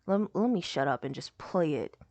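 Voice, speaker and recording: A young woman with a hoarse voice, rambling casually as she reacts to a game showcase video. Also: low voice, expressive, moderate pace, darkish tone, no echo. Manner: rambling, lots of "like" and trailing off.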